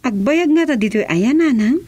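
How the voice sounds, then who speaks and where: Young village wife played by an actress, high voice, curious and practical, conversational radio drama. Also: female voice, expressive, moderate pace, dark tone. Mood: curious, agreeing.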